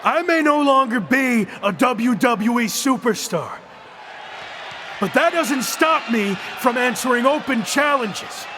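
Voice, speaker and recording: A man's voice: extremely high pitch, lively intonation, slow, a crowd in the background, background noise, heavily acted. An actor playing a defiant, determined young male wrestler who issues challenges.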